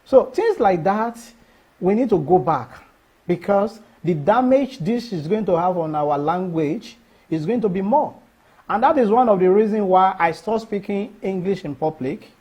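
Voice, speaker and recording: A man's voice: high-pitched, expressive, normal speed, darkish tone, husky. A middle-aged Nigerian man whose first language is Yoruba, answering interview questions earnestly and emphatically, often restarting phrases. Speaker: cultural festival organizer.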